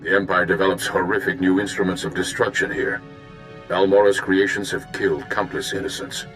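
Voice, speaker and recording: An actor voicing an earnest, calm male diplomat in a video game, briefing in a measured way. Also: sits low. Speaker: mission contact. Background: music.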